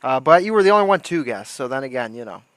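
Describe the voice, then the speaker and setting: A man's voice: mid-range voice, big pitch swings, fast, a bit bright, no room sound. A man hosting a livestream, giving casual, slangy and irreverent reaction commentary. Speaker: livestream host.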